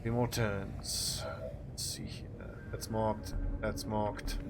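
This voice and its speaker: A man's voice: lowish voice, little pitch movement, takes it slowly, heavy background noise. A man with a South African accent giving casual, blunt commentary while streaming a game and chatting with viewers. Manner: chatty, blunt, swears freely, talks to viewers.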